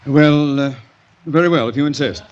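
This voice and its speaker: An actor with an American accent playing a polite, unflappable store clerk in a formal, hesitant manner for 1940s radio comedy. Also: male voice, on the high side, normal speed, lo-fi sound, noisy background, natural voice. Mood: politely salesmanlike.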